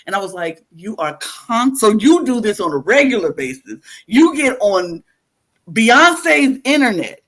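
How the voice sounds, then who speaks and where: A woman's voice: fairly high pitch, big pitch swings, unhurried, a bit bright. Fiery woman podcaster ranting. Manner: fiery, emphatic, repetitive outbursts. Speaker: podcast co-host. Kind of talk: heated casual rant.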